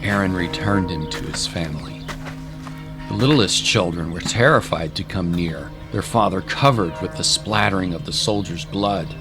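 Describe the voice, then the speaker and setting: A man reads a scripted story as its narrator. His voice is very deep, and there is clear music underneath the narration. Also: moderate pace.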